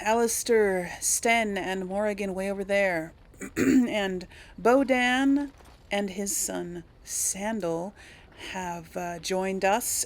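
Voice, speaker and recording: A woman giving casual let's play commentary, chatting to her viewers and thinking aloud as she hosts. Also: medium pitch. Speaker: host of a video game role-play series.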